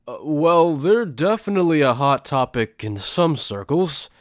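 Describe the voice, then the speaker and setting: A man's voice: fairly high pitch, lively intonation, a little slow, dry recording, studio quality, pitched above the performer's own voice. A man playing a polite, earnest, easily flustered young male journalist warrior in an anime fan drama. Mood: awkward, polite. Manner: hesitant, polite.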